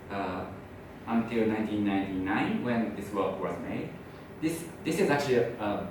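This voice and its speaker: A man, an exhibiting artist, explaining a work in a measured, hesitant way, in a large exhibition space. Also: on the low side. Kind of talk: explaining on a guided tour. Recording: echoey hall, distant voice with noise.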